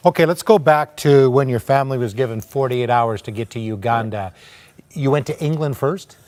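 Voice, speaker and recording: A man's voice: mid-range voice, moderate pace, a little mellow. A man hosting an interview, prompting his guest and summing up his story. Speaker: interviewer host. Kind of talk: talk show interview.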